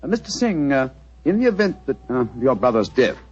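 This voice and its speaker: A shrewd English gentleman detective, acted by a man, who speaks fast with a very lively, swooping range. He is precise, courteous and incisive, in the manner of 1940s radio drama. The recording is dull and muffled. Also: mid-range voice, faint music behind, close to an everyday voice. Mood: probing.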